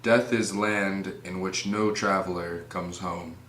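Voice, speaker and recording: Low-voiced male reader as troubled prince's inner voice, reflective scripted monologue, roomy with some noise. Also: steady tone, takes it slowly, a bit bright. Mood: grave. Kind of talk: scripted plain-English paraphrase of a soliloquy. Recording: echoey room with background noise.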